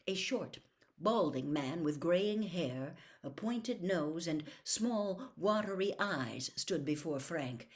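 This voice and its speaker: A woman storyteller reading a novel aloud in dramatic, expressive narration, building suspense with hushed emphasis. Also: on the low side.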